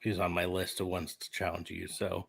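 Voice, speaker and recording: Low-voiced man chatting casually. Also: little pitch movement, normal speed, gravelly, a bit bright. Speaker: podcast host. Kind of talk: casual wrestling fantasy booking chat.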